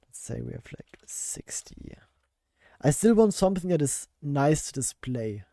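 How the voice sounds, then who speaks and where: A man streaming his game development, hoarse with a worn-out voice, thinking aloud, answering chat and laughing at himself. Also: fairly high pitch, sing-song, unhurried, mellow, no echo, studio quality. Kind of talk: casual live-stream chat while coding.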